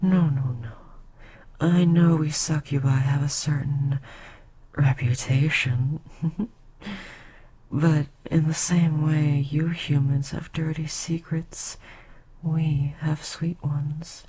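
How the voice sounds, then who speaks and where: ASMR roleplay: ancient, motherly succubus queen voiced by a woman, slow and breathy, dark mellow tone. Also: deep voice, steady tone, a little hiss or hum. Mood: soothing. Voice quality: breathy whisper. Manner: soft, slow, often whispering.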